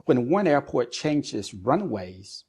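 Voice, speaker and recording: Middle-aged man explaining, deliberate and measured. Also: mid-range voice, very animated, darkish tone, husky. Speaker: guest expert, air traffic controller and business executive. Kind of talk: explanatory interview talk.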